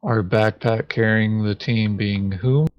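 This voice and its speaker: Very slow-talking man thinking aloud. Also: on the low side, fairly even intonation, no room sound, lo-fi sound. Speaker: board game player. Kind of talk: casual game table chat.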